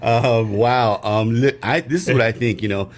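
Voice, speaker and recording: A man co-hosting a casual sports podcast, rambling through opinions with many fillers and restarts, growing emphatic when excited. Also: medium pitch, normal speed. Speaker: podcast co-host.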